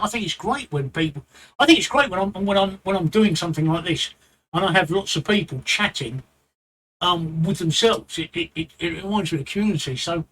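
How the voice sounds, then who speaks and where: A man's voice: fairly high pitch, a bit bright, rough recording. A man with a London accent giving blunt streaming advice live, repeating himself and rambling into asides. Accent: London English. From England.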